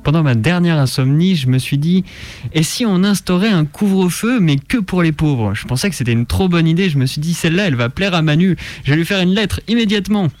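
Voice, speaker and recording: A man's voice: fairly high pitch, lively intonation, brisk, a little mellow, no room sound, faint music behind. A man on a radio show delivering a satirical scripted monologue in a colloquial, ironic, mock-naive way.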